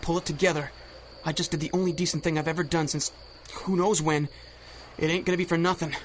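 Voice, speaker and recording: Guy doing a nervy little crook sidekick, high voice, slangy, radio drama, faint cricket noise. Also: fairly even intonation, fast talker, natural voice. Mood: urging. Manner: streetwise, colloquial.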